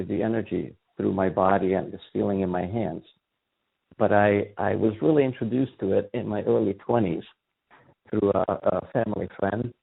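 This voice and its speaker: Gravelly low-voiced man from Yugoslavia. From Yugoslavia, in a Hungarian family. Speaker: guest, energy healer. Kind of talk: conversational storytelling in an interview. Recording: thin phone-like sound, faint music.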